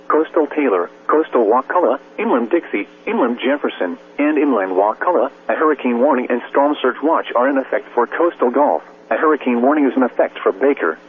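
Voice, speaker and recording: A man's medium-pitched synthetic voice comes through a narrow, tinny radio channel. He reads an official weather warning in stiff, measured, clipped phrases. A hum and faint hiss sit behind the words. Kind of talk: formal scripted hurricane warning bulletin.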